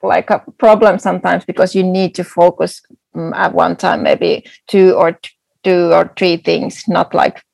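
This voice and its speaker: Swedish native woman, gravelly voice, chatty and excited, lots of restarts, dry close sound. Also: fairly low pitch, normal speed, no echo. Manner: enthusiastic, many restarts and fillers. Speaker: foraging guide and entrepreneur. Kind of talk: casual interview answers.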